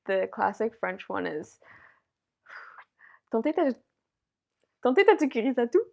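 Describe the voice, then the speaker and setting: A woman's voice: fairly high pitch, big pitch swings, unhurried, gravelly, a little mellow, muffled recording. A woman hosting a casual chat, hesitant and chatty with many fillers. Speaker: host.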